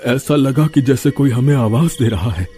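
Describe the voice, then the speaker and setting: A man's voice: medium pitch, animated, normal speed, warm tone, soft background music, voice pushed up, natural voice. A man voicing a timid young male villager who stammers when frightened, in a cartoon. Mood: scared.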